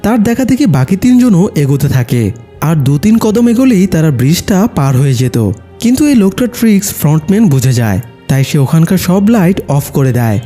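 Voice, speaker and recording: A man reads a scripted story recap in a fairly high voice. His pitch moves in lively swings, and the tone has a husky edge. Music plays underneath the narration. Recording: music behind the voice. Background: background music.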